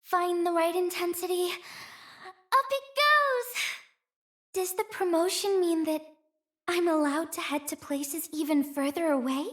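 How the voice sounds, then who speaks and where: Super high, slow-talking cheery game courier girl, romantic, airy n playful. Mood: encouraging. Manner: playful, airy, sweetly expressive. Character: cheerful, romantic, dreamy young female courier. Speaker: video game voice actor. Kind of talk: game character voice lines.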